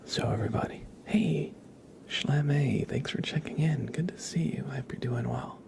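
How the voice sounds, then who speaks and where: A man hosting a live ASMR stream, chatting softly to viewers and gently guiding them in an unhurried way. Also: mid-range voice, gravelly. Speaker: ASMR livestream host.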